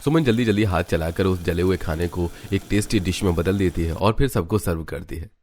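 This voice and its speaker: A man's voice: low-pitched, brisk, darkish tone, a little hiss or hum. A man narrating a scripted cartoon family story, setting each scene as a storyteller.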